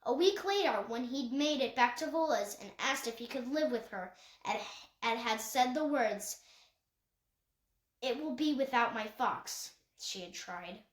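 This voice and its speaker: A woman's voice: on the high side, expressive, normal speed. A woman reading a children's novel aloud as scripted narration, occasionally stumbling and correcting herself. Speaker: audiobook reader. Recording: echoey untreated room.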